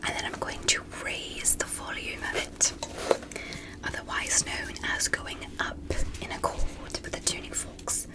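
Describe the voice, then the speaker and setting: Woman doing a soft alien doctor, whispered asmr exam, breathy n careful, super polite. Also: natural voice. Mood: hushed, clinical, curious. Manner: slow, careful, softly reassuring. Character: polite, gentle alien doctor examining a human. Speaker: ASMR roleplay performer. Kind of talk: whispered ASMR medical roleplay.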